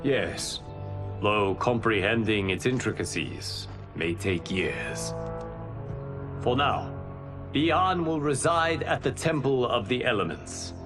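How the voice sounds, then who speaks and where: A man playing a stern, solemn guardian god in a video game, speaking formally and deliberately with authority. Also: medium pitch, music in the background, natural voice. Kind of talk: video game cutscene acting.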